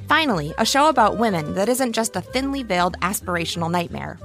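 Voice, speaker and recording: A woman's voice: medium pitch, lively intonation, quick pace, a bit bright, faint music behind. A woman narrating a podcast trailer in a wry promotional style.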